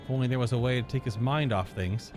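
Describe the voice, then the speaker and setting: A man reading game dialogue aloud as an earnest, dutiful fantasy character with formal, measured wording. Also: mid-range voice, darkish tone, faint music behind, voice pushed down, natural voice. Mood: concerned.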